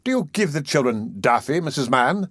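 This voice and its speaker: A fat, choleric beadle, as a man plays him, puffed up with his own importance and fond of grand words. His voice sits raised above the reader's own and a touch louder, delivered with stately, self-satisfied weight. It is a storybook voice in a dry, close recording. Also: on the high side, big pitch swings, normal speed, no room sound. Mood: pompous. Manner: pompous, self-important oratory. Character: fat, choleric, pompous male parish beadle.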